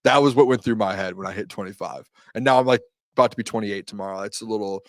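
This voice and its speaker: A man's voice: on the low side, fast, dry recording, studio quality. A man in his late twenties co-hosting a sports stream, talking casually in a rambling way full of fillers. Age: 20s. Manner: rambling, filler-heavy, excitable. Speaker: sports show co-host. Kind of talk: casual live-stream chat.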